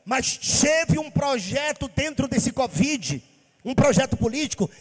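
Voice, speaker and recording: Brief: man from Bahia, pastor, very high voice, impassioned sing-song preaching, long hall reverb. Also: expressive, unhurried, husky. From Bahia, Brazil. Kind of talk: sermon.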